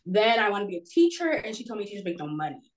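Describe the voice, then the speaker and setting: A woman's voice: mid-range voice, sing-song, fast talker. A woman in her twenties, from Florida, talking casually and hesitantly with many fillers over a video call. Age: 20s. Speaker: guest. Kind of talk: casual chat.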